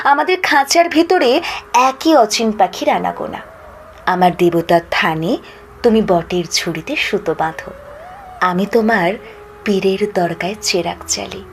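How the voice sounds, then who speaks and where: A woman recites poetry expressively, in measured, dramatic phrases with pauses and drawn-out line endings. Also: mid-range voice, slight background noise.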